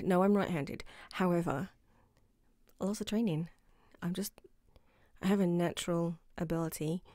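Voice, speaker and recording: Low, close, playful girlfriend acted by a woman. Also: darkish tone, no echo, lightly acted. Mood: proud, playful. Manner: teasing, giggly, sometimes dropping to a whisper. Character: playful affectionate new girlfriend, proud martial arts instructor. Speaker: ASMR roleplay performer. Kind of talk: ASMR girlfriend roleplay, intimate acting. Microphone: close microphone in a dry room.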